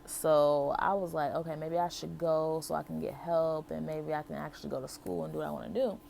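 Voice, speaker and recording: A woman's voice: sits low, fairly even intonation, a little fast, gravelly, darkish tone, slight background noise. A young American woman tells a personal story casually to her viewers, in a candid, conversational way with emphatic repetition. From Buffalo, New York, and Las Vegas, United States. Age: young adult. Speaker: vlogger telling her life story.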